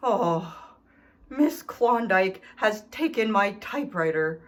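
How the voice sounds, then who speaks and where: A woman's voice: medium pitch, lively intonation, takes it slowly. A woman voicing an indignant, self-pitying male dog in a children's storybook, reading his letters with theatrical, aggrieved emphasis. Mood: defiant, complaining. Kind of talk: expressive children's storybook read-aloud.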